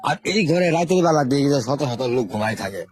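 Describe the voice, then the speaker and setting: Fast-talking older man. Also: on the high side, lively intonation. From Bangladesh, Sherpur. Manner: unhurried rustic storytelling, repeating words. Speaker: interview guest. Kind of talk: telling his life story in an interview.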